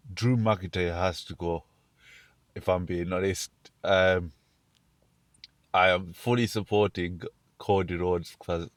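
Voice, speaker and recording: A man, sounding croaky from being ill, hosting his own show and rambling casually about wrestling with frequent restarts. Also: low voice, no room sound. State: croaky from illness. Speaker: show host. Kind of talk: casual wrestling commentary monologue.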